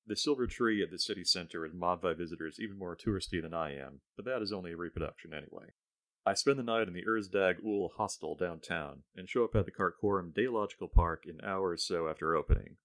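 A man's voice: sits low, fairly bright tone. A man, the author, reading his own science fiction story aloud in the first person, with a dry, wry storytelling tone.

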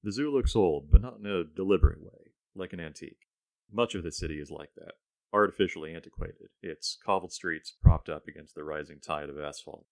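A man's voice: deep voice, a little fast, vocal fry, low-quality recording. A man, the author, reading his own science fiction story aloud in the first person, with a dry, wry storytelling tone.

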